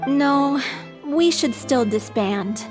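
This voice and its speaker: An actress playing a frail, ailing, earnest young female troupe director in a video game, speaking softly. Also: high voice, animated, extremely slow, long reverb tail, music in the background, exaggerated voice. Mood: resigned.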